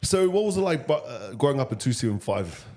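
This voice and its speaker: A man's voice: medium pitch, very animated, brisk, darkish tone. A man with a New Zealand accent hosting a casual interview, enthusiastic, matey and informal. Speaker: podcast host.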